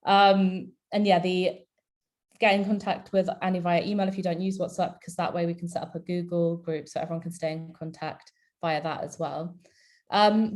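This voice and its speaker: Woman campaigner with a cold, mellow. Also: fairly low pitch. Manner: casual, chatty, many fillers and restarts. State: has a cold. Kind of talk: online rally talk. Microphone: video call.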